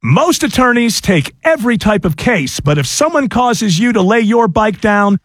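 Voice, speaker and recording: A man's voice: high voice, slightly rough, dry recording, studio quality. A man reading a scripted radio ad as spokesman, with punchy, upbeat delivery.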